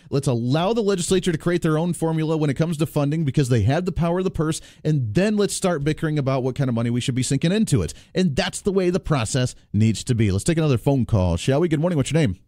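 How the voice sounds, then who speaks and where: A man's voice: fairly high pitch, expressive, quick pace, hardly any pauses, warm tone, dry recording, clean studio sound. A man hosting a political call-in radio show, talking in an emphatic, persuasive way that rallies his listeners. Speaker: radio talk show host. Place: radio studio. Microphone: close studio microphone.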